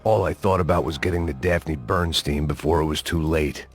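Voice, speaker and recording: An actor playing a world-weary, terse middle-aged American ex-cop in a hardboiled video game. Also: male voice, rumbling low, moderate pace. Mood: grim, brooding. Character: jaded, hard-drinking middle-aged American ex-cop antihero. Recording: loud music under the voice.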